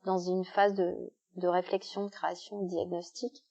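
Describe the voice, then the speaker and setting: Woman explaining with muffled dark tone. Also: lowish voice, level tone, normal speed. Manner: explains with everyday examples, many hesitations. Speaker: guest speaker, author. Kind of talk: explanatory talk at a club meeting.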